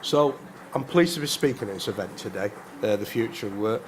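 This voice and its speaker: A man's voice: fairly low pitch, fairly quick, a little hiss or hum. A man in his forties, a politician giving a prepared speech at a conference, earnest with hesitant restarts.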